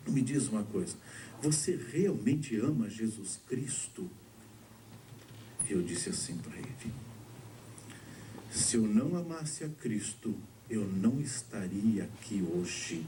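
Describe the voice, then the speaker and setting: A man's voice: mellow, a little hiss or hum. A Brazilian man preaching, telling his testimony to a congregation and voicing the people in his story. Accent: Brazilian Portuguese. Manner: storytelling, acting out dialogue with shifts of pitch. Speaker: pastor. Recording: distant mic with faint ambience.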